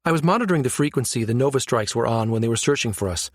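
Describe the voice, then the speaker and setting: Man voicing a brisk, wry woman space operative. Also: medium pitch, lively intonation, dry recording, studio quality, natural voice. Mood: explaining. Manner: informal, wry, slangy.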